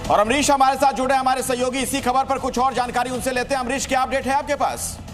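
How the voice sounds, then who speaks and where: A man's voice: very high voice, steady tone, fast talker, music in the background. A man presenting breaking news live as an anchor.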